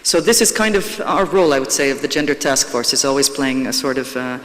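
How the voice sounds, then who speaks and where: A man's voice: medium pitch, fairly quick, a bit bright. A man from Croatia speaking English, giving a conference panel talk in a hesitant way, with many fillers and restarts. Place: conference hall. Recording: long reverb of a conference hall.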